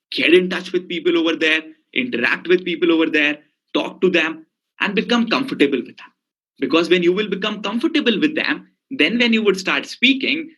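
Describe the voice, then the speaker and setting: A man's voice: a little high, a bit bright, poor audio. A man with an Indian English accent giving a motivational lecture as a communication coach, emphatic and speaking straight to his listeners with frequent questions.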